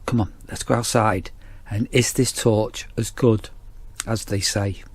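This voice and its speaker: A man's voice: fairly low pitch, fairly even intonation, measured pace, slightly rough. A man in a campsite warden role, chatting casually outdoors as he reviews a torch on an action camera. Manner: chatty, rambling, repeats "as I say". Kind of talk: casual product review vlog.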